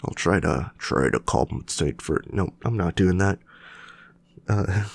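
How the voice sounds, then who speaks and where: A man's voice: sits low, a little slow, vocal fry, no echo. A young man with a cold and a nasal, stuffed-up voice, rambling casually as he hosts his solo podcast with many fillers and restarts. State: sick with a cold. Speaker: podcast host.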